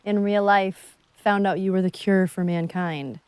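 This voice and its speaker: A woman's voice: medium pitch, fairly even intonation, a little slow, a little mellow, smooth, no echo. A woman speaking as an actress in a casual, unscripted commentary conversation.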